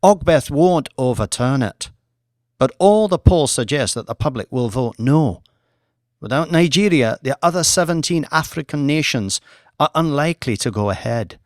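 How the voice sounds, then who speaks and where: A man's voice: mid-range voice, lively intonation, moderate pace, no room sound, clean studio sound, pitched above the performer's own voice, natural voice. A man voicing a firm, formal British woman diplomat in an audiobook. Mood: grave, matter-of-fact. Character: proficient, wary female British diplomat, firm, professional.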